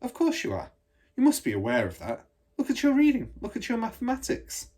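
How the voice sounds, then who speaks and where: A man's voice: very high-pitched, wildly swooping pitch, fairly quick, darkish tone, pitched above the performer's own voice, heavily acted. A man voicing a kind, patient and cautious woman schoolteacher in a children's storybook, speaking in a careful, explanatory way. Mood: thoughtful, explaining. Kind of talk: children's storybook read aloud.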